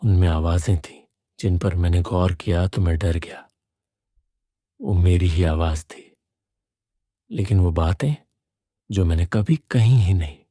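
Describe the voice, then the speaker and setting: A man narrating a scripted first-person horror story in a measured, suspenseful way. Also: low voice, warm tone, no echo, clean studio sound.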